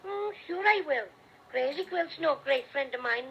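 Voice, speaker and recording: A cheerful little teddy bear with a gravelly Irish lilt. The voice sits high and rough, and it comes through a dull, lo-fi old radio recording. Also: male voice, expressive, normal speed, a little hiss or hum, full character voice. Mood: friendly. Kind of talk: 1930s radio play acting.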